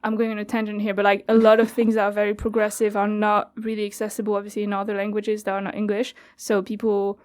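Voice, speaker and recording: A woman's voice: medium pitch, monotone, quick pace, hardly any pauses, a little mellow. A French woman in her twenties, chatting casually as an interview guest, with frequent laughs and fillers. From France. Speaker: guest, youth radio studio coordinator.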